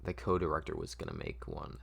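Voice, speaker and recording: A man's voice: rumbling low, big pitch swings, brisk, vocal fry, husky. A man narrating a scripted story informally at a table read, stumbling over the script as he goes.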